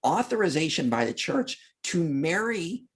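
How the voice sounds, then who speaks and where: A man's voice: on the high side, big pitch swings, moderate pace, husky. A man speaking as a podcast guest, explaining history in an emphatic, conversational way and acting out imagined quotes. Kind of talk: animated explanatory discussion of history.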